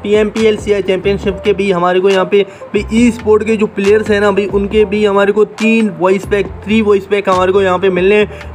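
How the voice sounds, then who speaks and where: A man's voice: sits high, slight background noise. A man hosting a gaming channel, explaining a mobile game in a casual, chatty, repetitive way that addresses viewers familiarly.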